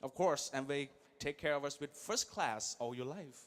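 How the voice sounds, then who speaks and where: A man in his thirties giving an energetic motivational speech at a large event, English not his first language, swinging from quiet asides to loud calls to the crowd. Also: fairly high pitch, moderate pace. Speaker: guest speaker.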